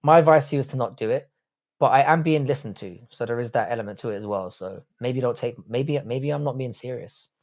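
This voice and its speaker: A man's voice: mid-range voice, a little fast, a little mellow. Blunt, crude man chatting live. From Ireland, grew up in the UK. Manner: blunt, jokey, crude banter. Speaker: livestream host reading chat. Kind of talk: casual live chat.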